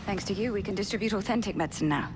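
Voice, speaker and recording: A woman playing a brisk Victorian nurse. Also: mid-range voice, noisy background, close to an everyday voice. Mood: urgent. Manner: brisk, proper, businesslike. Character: composed Victorian nurse, middle-aged woman. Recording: game cutscene with music and long reverb.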